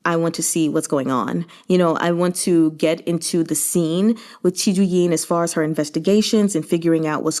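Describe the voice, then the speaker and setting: A woman with a voice a little lower than most talks through a novel in a casual read-along style. She is conversational and informal, thinking out loud and stressing her reactions with animated asides. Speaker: host of a book read-along channel.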